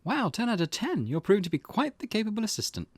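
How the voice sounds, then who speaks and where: Man voicing a perky, high-pitched teasing young woman. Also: huge pitch swings, fast, no room sound, studio quality, full character voice. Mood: cheerful, eager. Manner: playful, eager. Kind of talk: visual novel character read-aloud.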